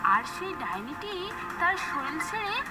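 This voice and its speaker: A woman's voice: extremely high pitch, big pitch swings, measured pace. A woman reading scripted narration for a children's cartoon story. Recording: echoey, distant, under loud music.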